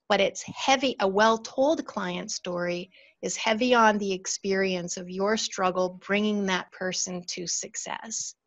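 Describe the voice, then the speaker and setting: A woman hosting an online storytelling workshop, speaking in a calm, measured, encouraging way over a video call. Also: medium pitch, gravelly. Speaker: storytelling coach and workshop host.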